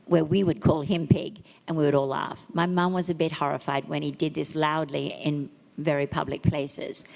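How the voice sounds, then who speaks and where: Low-voiced woman reading in a reverberant hall. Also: gravelly, a little mellow. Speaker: reader of family tributes at a memorial service. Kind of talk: reading written tributes aloud. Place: memorial service venue, large room.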